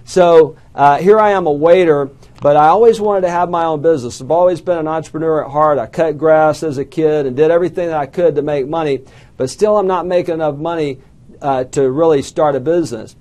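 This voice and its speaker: A man's voice: on the high side, brisk, a little mellow. Folksy Southern man giving a motivational talk. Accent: Southern US, Alabama. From southern United States. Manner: folksy storytelling with self-deprecating jokes and questions to the crowd. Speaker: small business coach speaker. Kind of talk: motivational talk to a live audience.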